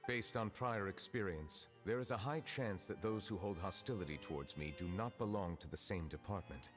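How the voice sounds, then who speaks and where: A very deep, measured male voice, from an actor playing an ancient dragon turned judge, formal and solemn in every word. Loud music runs under him in the game. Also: lightly acted.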